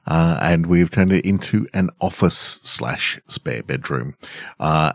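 Very deep male voice, podcast host and author, dry home studio sound. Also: no echo. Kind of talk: casual podcast chat and measured story reading. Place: home studio in a converted room.

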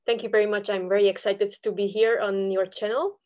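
A woman's voice: mid-range voice, fairly even intonation, fairly quick, a little mellow, highs cut off. A woman from Romania with a Romanian accent, answering conversationally as an interview guest. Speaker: podcast guest, online seller.

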